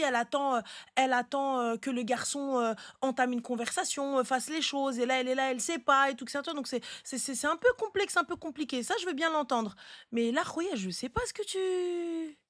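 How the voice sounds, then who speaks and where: A very high-voiced woman hosts a live advice chat in a close, dry sound. She is blunt and insistent, repeating herself to press her point as she talks casually to callers. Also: a little fast, no room sound. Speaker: live stream host giving relationship advice.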